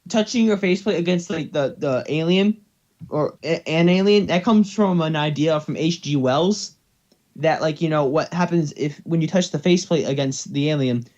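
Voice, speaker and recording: A man's voice: fairly high pitch, moderate pace, a little mellow, muffled recording. A man chatting casually as co-host, rambling and correcting himself as he goes. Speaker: co-host of a fan fiction reading channel. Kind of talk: casual chat.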